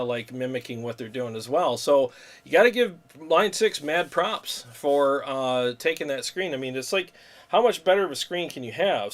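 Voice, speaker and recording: Easygoing guy hosting a guitar stream, mid pitch, lots of ums and laughs. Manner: laid-back, laughs often, talks gear with fillers. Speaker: host of a guitar tone livestream. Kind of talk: casual chat.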